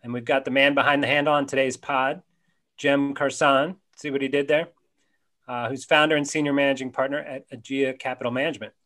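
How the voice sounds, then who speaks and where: A man's voice: mid-range voice, level tone, fairly bright tone. Upbeat male podcast host on webcam. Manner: upbeat, friendly, quick quips. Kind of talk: casual podcast interview on a video call. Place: home study with bookshelves, indoors. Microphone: webcam on a video call.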